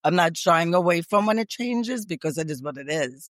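A middle-aged woman with a raspy, strained voice, talking eagerly and emphatically as a guest on a podcast interview. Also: on the low side, animated, normal speed, dry recording, studio quality. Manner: talkative, emphatic and repetitive. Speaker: guest, speech pathologist.

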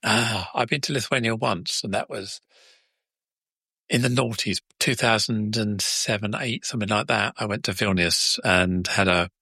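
A man's voice: on the low side, moderate pace, pushed and bright, breathy edge, dry recording, clean studio sound. A man hosting a conversational podcast interview, chatty and repeating words as he forms his questions. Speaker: podcast host.

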